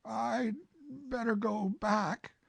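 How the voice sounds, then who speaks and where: A gentle, simple teddy bear, put on by a woman in a storybook reading, with a wistful, drawn-out way of talking. The voice sits high and soft with a husky edge. He sounds plaintive and a little put-upon. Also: fairly even intonation, no room sound, full character voice.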